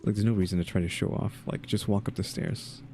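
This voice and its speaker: A man's voice: lowish voice, steady tone, brisk, mellow, a little hiss or hum. A man doing casual let's play commentary, laid-back and musing aloud as he plays. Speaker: gaming streamer. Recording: game music under the voice.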